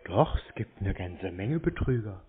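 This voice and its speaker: A man talks casually on a phone call. His replies are clipped, evasive and drily taunting, with a lively pitch swing. The recording is muffled and lo-fi. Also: measured pace, a little hiss or hum. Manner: terse, evasive, deadpan taunting. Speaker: scam baiter answering calls.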